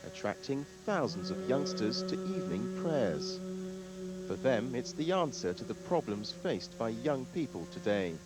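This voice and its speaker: A man narrating a television news report in a measured, formal way. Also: medium pitch, very animated, over music.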